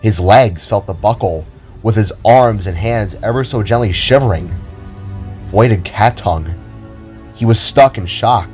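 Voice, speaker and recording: Low-voiced man narrating dramatic fiction. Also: a little mellow.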